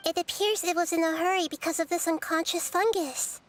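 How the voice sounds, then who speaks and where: Wise girl goddess: high, soft and reassuring, calm and thoughtful. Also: brisk, a little hiss or hum. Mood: gentle, thoughtful. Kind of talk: video game voice acting.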